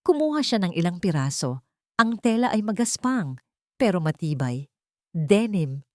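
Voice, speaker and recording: A woman's voice: on the low side, sing-song, moderate pace, darkish tone, no echo, clean studio sound. A woman with a Filipino accent narrating a scripted dramatic story.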